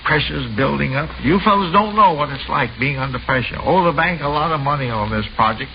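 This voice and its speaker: Man playing harried folksy property developer, higher-voiced and lively, old muffled radio drama. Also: brisk, lightly acted. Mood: fretful. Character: harried, grumbling male housing developer under money pressure. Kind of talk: 1950s radio drama acting. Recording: muffled vintage radio recording, faint noise.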